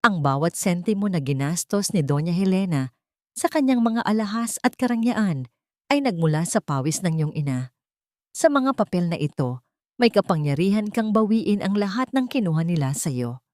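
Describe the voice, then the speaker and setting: Low-pitched Filipino woman narrating drama. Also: lively intonation, moderate pace, darkish tone, no room sound, clean studio sound. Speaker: story narrator. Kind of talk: dramatic scripted Tagalog audio story narration.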